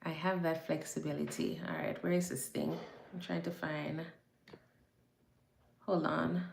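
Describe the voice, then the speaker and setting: Low-voiced woman from Jamaica in an echoey room. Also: flat delivery, unhurried, gravelly, slight background noise. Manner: greets viewers by name, chatty and repetitive. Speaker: nurse and livestream host. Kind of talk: casual livestream chat with viewers.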